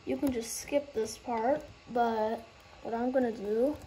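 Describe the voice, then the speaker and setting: Woman, higher voice, mellow tone, casual game tutorial, halting, restarts often. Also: fairly even intonation, a little hiss or hum, rough recording. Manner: halting, loses track and starts over. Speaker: gaming tutorial host.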